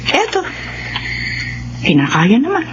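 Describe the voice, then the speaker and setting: A woman's voice: sits high, takes it slowly, heavily acted. An actress playing a devoted, struggling middle-aged mother in a Filipino radio drama, speaking tenderly. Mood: earnest, burdened. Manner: tender, expressive. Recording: muffled, noisy radio drama broadcast.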